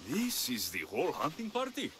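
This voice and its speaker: Terse mercenary, lively pitch swings. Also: male voice, normal speed, a little hiss or hum. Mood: confident. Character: confident male mercenary for hire, dry and steady. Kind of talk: video game cutscene acting.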